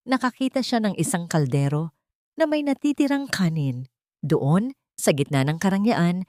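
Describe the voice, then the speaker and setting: A woman's voice: fairly low pitch, a little mellow, no echo, clean studio sound. A woman narrator reading a scripted, dramatic audio drama story.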